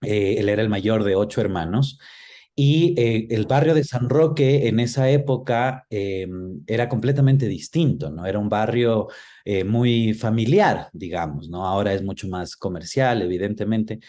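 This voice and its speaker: A man's voice: fairly low pitch, normal speed, warm tone. A middle-aged man answering interview questions thoughtfully, with hesitations and little checking tags. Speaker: interview guest, screenwriter and filmmaker. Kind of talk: reflective conversational interview.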